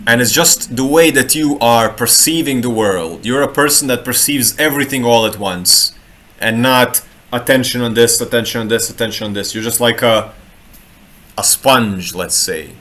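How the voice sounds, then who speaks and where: A man's voice: mid-range voice, normal speed, a bit bright. Guy chatting live, wanders off mid sentence, restarts words a lot. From Croatia. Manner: rambling, restarts words, playful asides. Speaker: human design reader and street artist. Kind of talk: casual live talk. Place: home room in Sweden.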